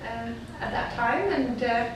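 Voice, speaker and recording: A woman's voice: fairly high pitch, lively intonation, unhurried. A woman in her thirties from Denmark, casually answering interview questions as a guest. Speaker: guest, fashion designer. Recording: echoey room, distant mic, faint noise.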